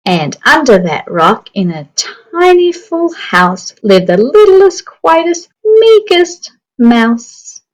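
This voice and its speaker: Slow-paced woman reading a children's story. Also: high-pitched, sing-song, low-quality recording. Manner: deliberate, dramatic phrasing for young children. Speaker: picture book reader. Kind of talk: expressive children's story read-aloud.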